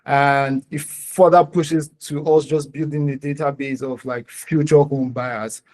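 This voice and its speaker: A man with a Nigerian accent giving a live startup pitch and answering questions in an explanatory, somewhat hesitant way. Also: fairly even intonation, unhurried, darkish tone.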